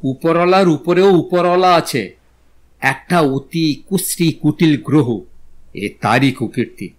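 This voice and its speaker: An actor playing a courteous, witty young gentleman in an audio drama, speaking in polite, florid literary phrases. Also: on the high side, a little slow, close to an everyday voice. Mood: gallant, pleading. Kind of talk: Bengali audio drama acting.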